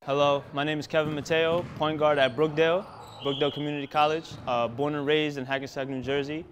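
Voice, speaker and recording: A man's voice: on the high side, flat delivery, brisk, noisy background. A young man, a college basketball player, introducing himself in a short interview. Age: young adult. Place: empty basketball arena.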